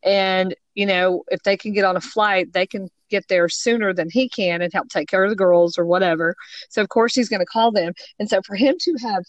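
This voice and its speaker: A woman's voice: medium pitch, a little fast, dry recording, highs cut off. A woman co-hosting a true crime podcast, talking casually and rambling a bit, her voice slightly scratchy from allergies. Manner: rambling, many ums and you-knows. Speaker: podcast co-host.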